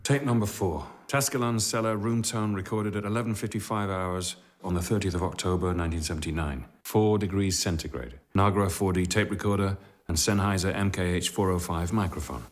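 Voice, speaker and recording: A man's voice: sits low, brisk, natural voice. A male actor in a radio drama playing a practical engineer with a dry, matter-of-fact manner.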